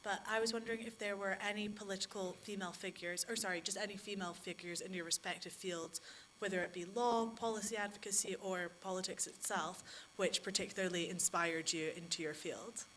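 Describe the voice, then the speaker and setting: A woman's voice: medium pitch, steady tone, brisk, fairly bright tone. Audience woman, polite and chatty, distant mic, faint hum. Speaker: audience member asking a question.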